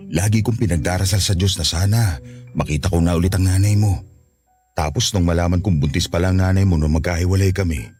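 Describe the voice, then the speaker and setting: A man's voice: deep voice, darkish tone, natural voice. An actor playing a remorseful, loving Filipino father in an earnest radio drama.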